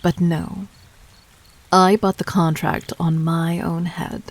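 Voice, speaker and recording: A regal, calculating demon queen played by a woman addresses her would-be assassin in an audio roleplay. She speaks very slowly and formally, her soft voice low and creaky at the edges, often sinking toward a whisper. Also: little pitch movement. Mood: cool, commanding. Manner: measured, regal, formal, deliberate.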